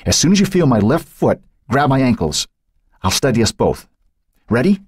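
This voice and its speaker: Guy voicing a brave boy, urging, raised lively voice. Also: mid-range voice, normal speed, slightly rough, dry recording, clean studio sound, pitched above the performer's own voice. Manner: urging, insistent. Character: determined young boy, coaxing leader.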